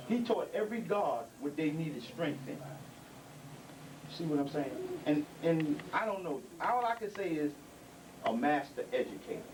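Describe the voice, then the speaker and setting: A middle-aged man addressing young members at a gathering, preaching with repeated emphasis and street vernacular. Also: on the high side. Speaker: elder speaker at a community gathering. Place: indoor building. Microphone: handheld microphone. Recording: distant mic with background noise.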